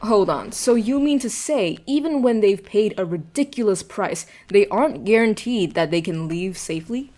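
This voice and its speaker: A woman's voice: mid-range voice, expressive, normal speed, few pauses, dark tone, lightly acted. A woman playing a formal, inquisitive radio news anchor who shows concern, in an audio drama. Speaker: news host. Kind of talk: acted news broadcast.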